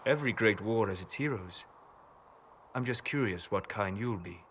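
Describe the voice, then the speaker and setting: An actor playing a calm, scholarly elf mage in a video game, speaking in measured, formal, erudite phrases. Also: male voice, fairly low pitch, a little hiss or hum. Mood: reflective, wry. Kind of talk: video game cutscene acting.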